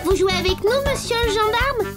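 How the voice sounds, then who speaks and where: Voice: very high voice, normal speed, over music. An actor voicing a cheerful, polite little wooden toy boy in a French children's cartoon.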